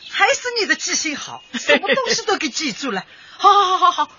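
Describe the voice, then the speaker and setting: An actress in a radio drama playing a flustered, excitable female resident, fussy, exclamatory and repeating herself. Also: very high-pitched, fairly quick, exaggerated voice. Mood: grateful, flustered. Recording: dull audio with faint music.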